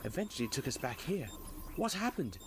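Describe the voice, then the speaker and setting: A man's voice: mid-range voice, big pitch swings, a little fast, lightly acted. A man playing a confused time traveller's companion in an audio drama, speaking with bewildered urgency. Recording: clear background noise.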